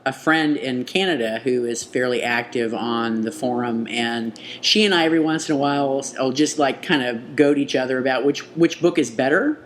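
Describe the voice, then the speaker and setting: A woman's voice: rumbling low, normal speed, few pauses. A woman co-hosting a podcast in casual banter, playful and salty-tongued. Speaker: podcast co-host.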